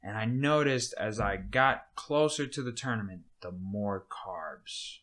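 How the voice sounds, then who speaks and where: A man's voice: lowish voice, expressive, a little slow, a bit bright. A man in his twenties hosting a podcast, chatting casually in a laid-back, bro-ish way and often trailing off. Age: 20s. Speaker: podcast host. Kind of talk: casual chat.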